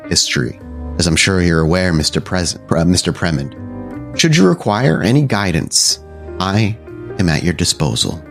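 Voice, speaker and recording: Man as courteous vampire woman, low pitch, formal measured delivery, faintly mocking, tabletop game, music underneath. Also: lively intonation. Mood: formal, deferential.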